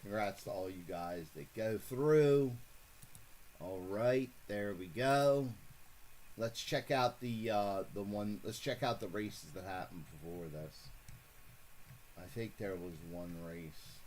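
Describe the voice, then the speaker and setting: A man hosting a casual live stream and calling horse races, rambling and correcting himself as he goes, then getting excited at the finish. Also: fairly low pitch, slow, slight background noise. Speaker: host calling horse races for a fantasy contest.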